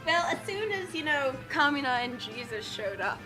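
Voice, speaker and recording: A woman's voice: high voice, very animated, moderate pace, low-quality recording. A woman chatting casually and joking as she co-reads a comic story. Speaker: co-reader. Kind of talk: casual comic banter and read-along. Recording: prominent music over the voice. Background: loud background music.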